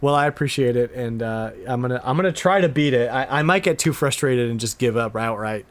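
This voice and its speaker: A man hosting a casual video game podcast, talking with animated, exasperated emphasis. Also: medium pitch, brisk. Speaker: podcast host.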